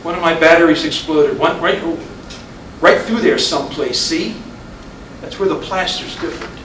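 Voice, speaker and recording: A man in a staged play reading, playing a weary, regretful, stubborn husband of fifty-five who speaks haltingly. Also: on the high side, natural voice. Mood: wistful. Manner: halting, repeating words, brooding. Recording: echoey room from far off, noisy.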